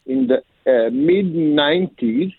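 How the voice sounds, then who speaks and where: A man born in Africa, a singer and producer, answering radio interview questions by phone with many pauses and fillers. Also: fairly high pitch, lively intonation, slightly rough, no room sound. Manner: halting, many fillers, slow and careful. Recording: tinny phone line.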